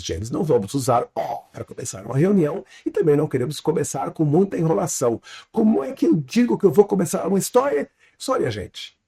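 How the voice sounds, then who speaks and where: A man in an interview explaining storytelling with examples, speaking Portuguese learned in southern Brazil with a foreign accent and an animated teaching manner. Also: on the high side, breathy edge. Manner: animated teacher, drops to quiet asides, tags lines with "tá?". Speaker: storytelling consultant, guest.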